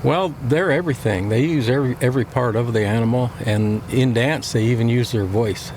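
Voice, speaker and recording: Thoughtful, halting man in an interview. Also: medium pitch, darkish tone, a little hiss or hum. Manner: thoughtful, hesitant, many fillers. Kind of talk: reflective interview answers.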